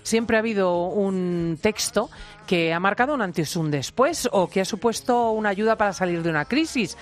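A woman from Spain hosting a lively radio talk show, brisk and teasing. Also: medium pitch. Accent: Spain Spanish. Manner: brisk, teasing, laughs often. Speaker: radio host.

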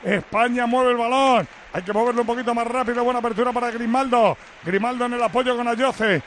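A man giving live radio play-by-play of a football match, lively and rising to shouts on attacking plays. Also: very high-pitched, fairly quick, a little hiss or hum. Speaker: play-by-play commentator.

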